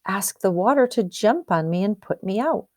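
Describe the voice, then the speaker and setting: A woman voicing helpful, grateful spirits of fire and water in a storybook, calm and measured. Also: mid-range voice, no room sound, clean studio sound, close to an everyday voice.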